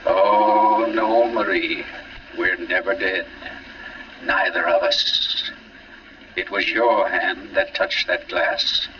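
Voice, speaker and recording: A man's voice: sits low, fairly even intonation, slow pace. An actor playing a suave, sinister author in 1940s radio suspense, urbane and theatrical, savoring his words. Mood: sinister, coaxing. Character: suave, sinister male author with a taste for poisoners. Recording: muffled, noisy 1940s radio recording, distant.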